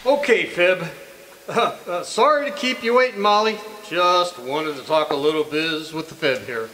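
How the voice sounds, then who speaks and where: An actor playing a jaunty, folksy old-timer who jokes in slangy talk in an old-style radio comedy. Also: male voice, on the high side, pushed and bright, rough recording. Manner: folksy, slangy, drawn-out punchlines. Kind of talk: 1940s radio comedy acting, live re-creation.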